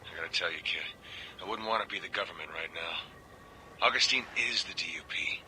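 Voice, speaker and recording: A weary government insider leaves a secret audio log for a video game. His voice is very low, and he talks in a dry, confiding way. Clear ambient noise sits behind him. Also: a little fast, bright, forceful tone, low-quality recording. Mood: wry warning.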